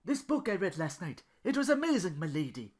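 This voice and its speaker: A podcast host voicing an earnest, devoted, naive young man sidekick, excitable and deferential. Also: medium pitch, brisk, husky, voice pushed up. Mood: eager, hopeful. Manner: deferential, excitable, wide-eyed.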